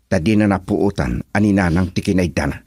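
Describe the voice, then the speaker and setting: Earnest young man in love, Ilocano accent, husky low voice, dramatic radio play, insistent. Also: brisk, warm tone. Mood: pleading. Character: earnest young male lover, wronged and insistent.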